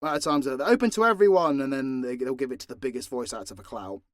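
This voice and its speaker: A man's voice: medium pitch, quick pace, no room sound. Sweary British man, dry close recording. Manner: sweary, blunt, informal. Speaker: voice actor and channel creator answering fan questions. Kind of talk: casual unscripted Q&A chat.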